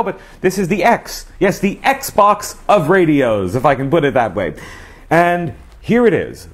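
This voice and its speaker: A man in his forties hosting a casual review to camera in a chatty, emphatic presenter style. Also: mid-range voice. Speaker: host of a radio review show. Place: small living room, indoors. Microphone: lapel mic.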